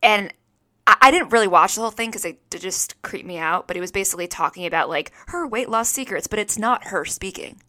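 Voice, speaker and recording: Bright, chatty woman co-host. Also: medium pitch, expressive, fairly quick, dry recording. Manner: bubbly, rambling, many "like"s and restarts. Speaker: podcast co-host. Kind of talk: casual chat.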